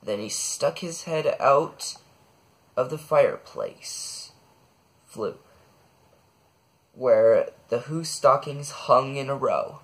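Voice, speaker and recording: A man reading a children's bedtime story aloud, expressively, with dramatic pauses. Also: mid-range voice, husky. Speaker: storybook reader.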